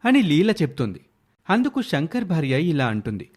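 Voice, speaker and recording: A man's voice: on the high side, very animated, moderate pace, a little mellow. A man reading scripted narration for a moral story as its narrator.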